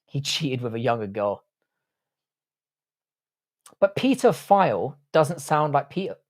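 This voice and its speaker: A man's voice: medium pitch, sing-song, measured pace. A young man hosting a live stream, reading chat messages and answering in blunt, jokey, crude banter. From Ireland, grew up in the UK. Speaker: livestream host reading chat.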